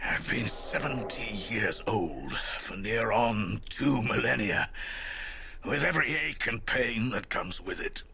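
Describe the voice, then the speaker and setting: An ancient, world-weary old man for a game cutscene. His voice is very husky and cracked with gravel, yet cuts through forcefully. He grumbles bitterly and broods dramatically, dragging out his words. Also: on the low side, animated, slow, heavily acted. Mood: weary, irritable. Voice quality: raspy, gravelly, breathy. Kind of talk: video game cutscene voice acting.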